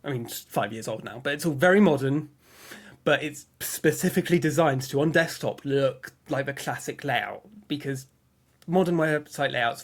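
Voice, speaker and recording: A man with a medium-pitched voice speaks as a guest in a casual interview. His delivery is informal and explanatory, with "like" scattered through his sentences.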